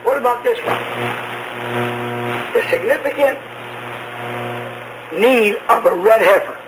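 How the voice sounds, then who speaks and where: A man preaching an apocalyptic prophecy sermon in a deliberate, emphatic way, repeating phrases, on a shortwave radio broadcast. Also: very high voice, animated, background noise.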